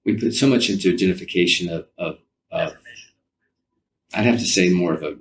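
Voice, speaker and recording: A man's voice: low voice, brisk. A man speaking as an interview guest in a casual, reflective way, often rephrasing as he searches for his wording. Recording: distant mic with faint background noise.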